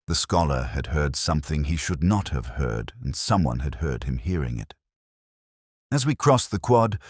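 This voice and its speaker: A man playing a weary, brooding older police inspector, narrating his case in a measured, solemn, deliberate way. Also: rumbling low, no echo, studio quality, heavily acted. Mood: grave, reflective. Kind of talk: scripted first-person mystery narration.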